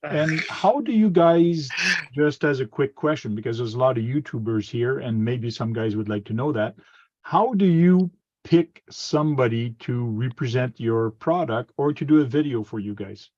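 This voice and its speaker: A man's voice: medium pitch, moderate pace, darkish tone, dry recording. Brief: male stream host on a video call, chatty questioner, muffled and dry audio. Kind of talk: casual video-call chat.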